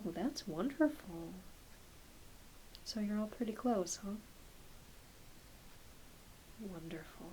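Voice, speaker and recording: A soft-spoken woman, often dropping into a whisper, gently playing a reassuring doctor in an ASMR roleplay. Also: medium pitch, low-quality recording. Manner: gentle, reassuring, hesitant questioning. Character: kindly, attentive doctor examining a patient. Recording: hissy close home recording.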